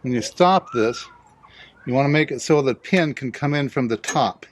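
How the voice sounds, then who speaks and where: A man's voice: medium pitch, moderate pace, dry recording. A man casually narrating a hands-on DIY installation, explaining it plainly step by step.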